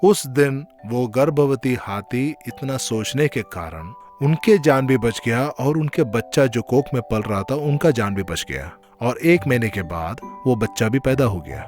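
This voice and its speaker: A man's voice: medium pitch, expressive, measured pace, hardly any pauses, darkish tone. Man narrating a children's story over music.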